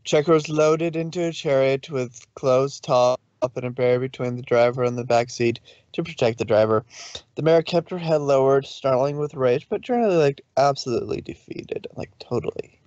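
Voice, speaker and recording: Mid-pitched man reading a story, slightly dull sound. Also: normal speed, darkish tone, no echo. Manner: loose, stumbles and corrects himself. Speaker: narrator. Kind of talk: informal fan fiction reading.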